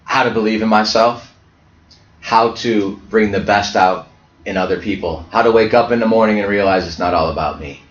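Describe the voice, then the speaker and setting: A man who coaches high school basketball, from Ohio, speaking earnestly as an interview guest and often restarting his words. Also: moderate pace. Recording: echoey dull lo-fi audio.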